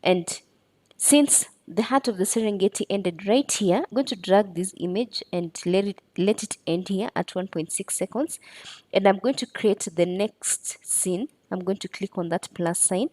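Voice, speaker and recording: Woman tutorial presenter, mellow and relaxed tone, dry close sound, methodical instructional delivery. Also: medium pitch, unhurried, no room sound. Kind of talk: step-by-step screen tutorial narration.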